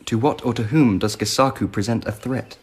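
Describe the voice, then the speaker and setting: An actor playing a genial, indulgent but shrewd Emperor in a radio drama, urbane and teasing in manner. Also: male voice, lowish voice, fairly quick, darkish tone, breathy edge. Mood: amused, indulgent.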